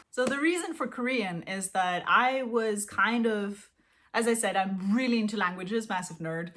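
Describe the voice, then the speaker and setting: A woman's voice: medium pitch, sharp tone. Chatty woman vlogging, giggles a lot, lots of ums, mid-height voice. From Austria. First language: Austrian German. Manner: chatty, giggly, self-deprecating, lots of fillers. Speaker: language-learning vlogger. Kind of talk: casual chat to camera.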